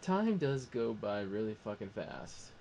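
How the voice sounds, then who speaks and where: A man talks slowly with a creaky, gravelly voice. He chats casually as part of a panel. Faint noise is on the call. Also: lowish voice, very animated, a little mellow. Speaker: talk show panelist. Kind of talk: casual chat.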